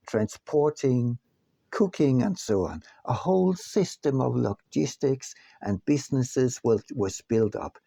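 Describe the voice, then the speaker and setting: A man narrating his own audiobook from a script in a deliberate, emphatic manner. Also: mid-range voice, creaky, dark tone, dry recording. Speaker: author reading own book.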